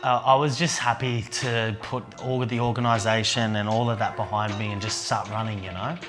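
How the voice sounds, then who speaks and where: Aussie bloke, kinda low voice, quiet and earnest, tellin his story for a doco. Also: flat delivery, a little fast, music in the background. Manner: soft-spoken, earnest, with fillers. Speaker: event organiser and men's coach. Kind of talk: reflective documentary interview.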